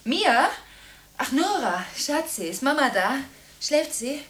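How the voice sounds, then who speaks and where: High-voiced, agitated woman on the phone. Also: big pitch swings, slow pace, full character voice. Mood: hesitant, evasive. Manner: breathless, impulsive, swinging between protest and hushed brooding. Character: agitated, contradictory woman in nonstop phone calls. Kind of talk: German radio drama monologue, one side of phone calls.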